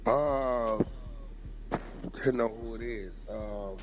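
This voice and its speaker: A man's voice: medium pitch, takes it slowly, noisy background. A man in a heated podcast debate, talking bluntly and profanely about the music business.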